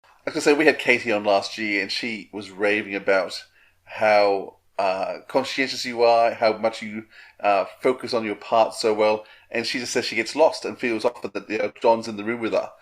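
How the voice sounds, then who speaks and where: A man's voice: fairly low pitch, steady tone, moderate pace. A man hosting a podcast interview, chatting casually with his guest.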